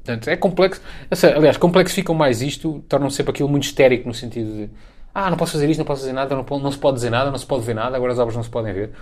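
Very fast-talking man, European Portuguese accent. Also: mid-range voice, a little mellow. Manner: colloquial, sweary, hedging with tag questions. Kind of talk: casual chat about films.

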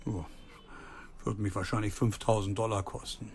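A man playing a desperate, agitated German abroad in a radio play, excitable and exasperated. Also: lowish voice, normal speed, vocal fry, slight background noise. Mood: feverish, weak.

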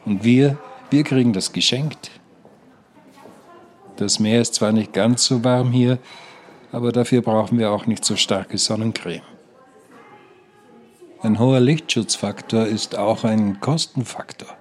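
Actor as insistent old pensioner, slow and deliberate. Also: male voice, lowish voice, lightly acted. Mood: rapturous, insistent. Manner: declamatory, insistent, repeats himself. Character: older male care-home pensioner, stubbornly positive persuader. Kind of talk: German radio play acting.

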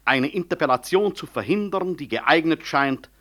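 A proud male professor in a sixties radio play. He speaks like a formal orator with an ironic edge, his pitch rising and falling widely. He is defiant and dignified throughout. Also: lively intonation, normal speed, sharp tone. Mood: defiant, indignant. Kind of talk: 1960s German radio play acting.

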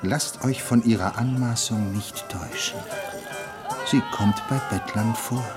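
A man playing a smooth, courtly minister. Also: lowish voice, unhurried, a loud crowd, lightly acted. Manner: formal, courtly, soothing. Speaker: actor in radio play. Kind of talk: 1970s radio drama acting. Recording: radio play with heavy music behind.

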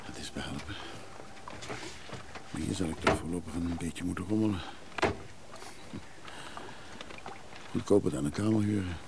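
A man's voice: sits low, a little fast, gravelly. An actor playing a grumbling, down-at-heel boat owner in a radio drama, muttering to himself as he coaxes a stubborn engine. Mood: resigned. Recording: noisy radio play boat scene. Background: boat sounds and engine noise.

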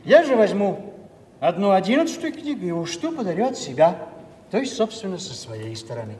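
An actor playing a timid, rambling old father in a theatrical audio play, halting and repeating himself. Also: high voice, big pitch swings, darkish tone. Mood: pleading, confiding. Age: older. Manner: hesitant, repetitive, flustered and halting. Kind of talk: theatrical stage acting of a Russian classic. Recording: echoey hall, distant mic, faint noise.

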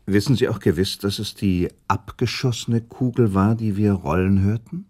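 A man's voice: on the low side, moderate pace, darkish tone, lightly acted. A man playing a noble, sceptical prince in a German radio play, arguing insistently and reasoning with rhetorical questions. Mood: probing, argumentative.

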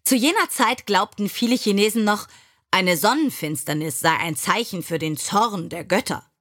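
A woman reading scripted biographical stories for children as an audiobook narrator, measured and clearly articulated. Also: medium pitch, expressive, fairly bright tone.

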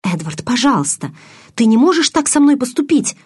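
A woman's voice: a little high, brisk, darkish tone, breathy edge, voice pushed up. A woman reading an audiobook, voicing a frightened, furious and stubborn teenage girl who pleads insistently. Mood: panicked, angry.

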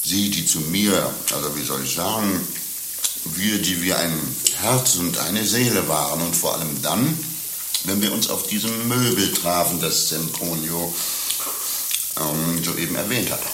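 A coarse, cynical pimp in a satirical radio play. His voice is very low and forceful, sly and boastful, with knowing pauses. The room rings a little and a faint hiss lies underneath. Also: lively intonation, echoey room. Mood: smug confiding. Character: cynical, coarse male pimp, bragging and mock-tender.

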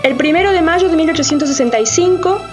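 A female narrator delivers scripted radio drama in a declamatory manner. Her voice is notably high, over faint music. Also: fast.

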